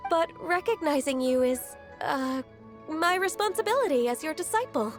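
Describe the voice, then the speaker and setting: A gentle, deferential young disciple speaks softly and politely. Her voice is high, and light game music plays underneath. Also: animated, moderate pace. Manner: polite, soft-spoken, deferential. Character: gentle young half-divine woman, dutiful disciple. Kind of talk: video game voice acting.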